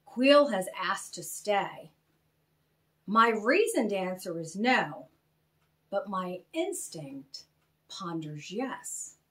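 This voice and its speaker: Storybook elder alien leader, played by a woman: stern, dignified, formal, very slow delivery with swelling and falling pitch. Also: expressive, a bit bright, far from the mic. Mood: grave, deliberating. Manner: formal, deliberate, weighing each word.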